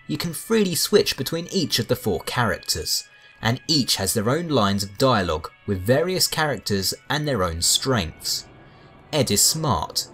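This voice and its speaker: A man's voice: mid-range voice, a bit bright, husky. A man giving a scripted video game review with wry, sarcastic asides. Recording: music behind the voice. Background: background music.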